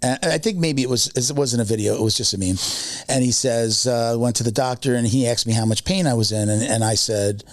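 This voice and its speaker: A man's voice: mid-range voice, brisk, few pauses, no echo. A man in his fifties, a podcast co-host chatting casually, rambling with many fillers and restarts. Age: 50s. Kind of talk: casual chat.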